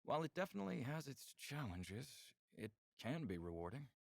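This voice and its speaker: An actor playing an earnest, reserved male alien scientist in a visual novel game, speaking in a formal, measured way. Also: mid-range voice, big pitch swings, creaky, no echo, studio quality. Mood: measured, candid.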